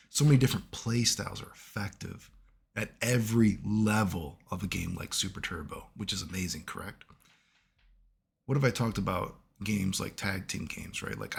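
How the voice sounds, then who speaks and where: A man, hoarse from being sick, giving casual analytical commentary as a video host and checking in with "right?" at nearly every turn. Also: fairly low pitch. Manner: keeps asking "right?" as he lectures, swears casually.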